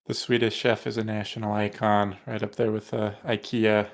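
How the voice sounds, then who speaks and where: A young man co-hosting a casual podcast, easygoing and quick to drop a chuckling aside. His voice is somewhat low and relaxed. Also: gravelly. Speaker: podcast co-host. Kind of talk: casual chat.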